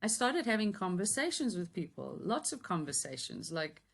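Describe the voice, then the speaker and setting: A woman in her fifties from Yorkshire, now a business coach, with a softened Yorkshire accent, talking as a guest in a relaxed, chatty way with many ums. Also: medium pitch, normal speed, gravelly. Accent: Yorkshire English softened by South African years. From Yorkshire, England. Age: 50s. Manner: warm, chatty, thoughtful with frequent ums and light laughter. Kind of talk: casual interview chat.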